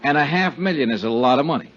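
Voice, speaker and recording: An American actor playing a tough, self-assured insurance investigator in a 1950s radio drama, with a clipped, matter-of-fact delivery. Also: male voice, medium pitch, lively intonation, quick pace, a bit bright, lightly acted. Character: tough, sure-minded male insurance investigator hero. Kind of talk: 1950s radio detective drama acting with narration. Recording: muffled 1950s radio recording, music.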